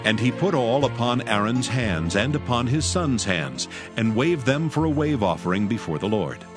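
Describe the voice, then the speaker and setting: A man narrating scripture in a steady, reverent, measured way for a dramatized audio Bible. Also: medium pitch. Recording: narration over clear music. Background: music.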